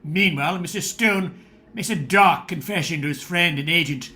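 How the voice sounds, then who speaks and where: A man's voice: a little high, moderate pace, bright, forceful tone. A man reading scripted, dramatic narration for a radio play.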